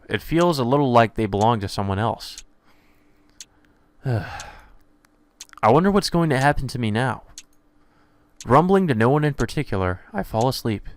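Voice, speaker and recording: A man's voice: mid-range voice, clean tone, dry recording, natural voice. Man reading as brooding, introspective teenage boy. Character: brooding, puzzled teenage boy, visual novel protagonist.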